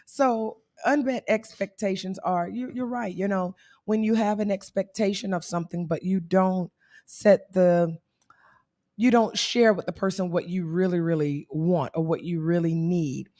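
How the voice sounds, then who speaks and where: A woman in her thirties with a hoarse voice, co-hosting a casual livestream talk and laughing and teasing as she goes. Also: fairly low pitch, steady tone, measured pace, darkish tone, no echo, studio quality. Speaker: co-host.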